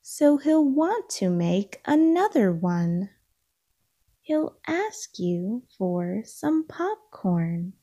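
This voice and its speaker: A woman reading a children's storybook aloud, with deliberate, expressive phrasing for young listeners. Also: mid-range voice. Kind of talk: read-aloud storybook narration.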